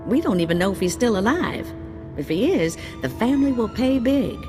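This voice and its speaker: A woman's voice: a little high, expressive, moderate pace, lightly acted. A woman voicing a shrewd, folksy bounty handler who briefs a hunter in plain slangy speech. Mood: briefing. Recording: game audio with music.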